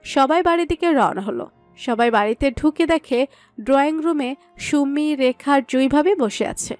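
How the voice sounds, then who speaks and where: A woman's voice: high voice, expressive, normal speed, darkish tone, no room sound. A woman narrating a romantic audio story from a script. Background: background music.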